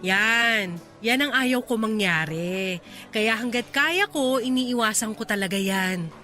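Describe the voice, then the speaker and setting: Actress as a bright high-pitched young Filipina career woman. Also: slow, faint music behind. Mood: firm, defensive. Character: Maylin, hardworking, playful, stubbornly single young woman. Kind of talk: radio drama acting.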